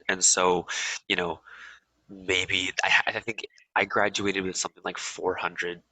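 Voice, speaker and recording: A man's voice: low-pitched, little pitch movement, a little slow, bright, forceful tone, no echo, low-quality recording. A young American man from California, talking casually as an interview guest, with many ums and restarts. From USA.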